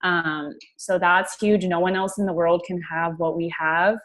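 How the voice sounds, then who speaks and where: A woman giving an informal, enthusiastic sales pitch as a team leader on a conference call. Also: fairly even intonation, unhurried, a bit bright.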